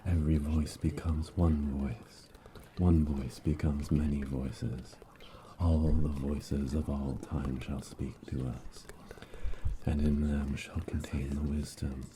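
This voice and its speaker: A man voicing a solemn witch coven elder in an ASMR roleplay, hushed and breathy, chanting ominously close to a binaural microphone. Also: very deep voice, little pitch movement, noisy background. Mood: solemn, incantatory. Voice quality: breathy whisper. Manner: slow, incantatory, repetitive, ominous.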